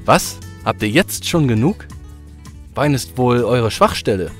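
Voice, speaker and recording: A man playing a deep-voiced, gruff, hard-drinking innkeeper with a mocking streak in a fantasy audio drama. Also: lively intonation, unhurried, faint music behind, lightly acted.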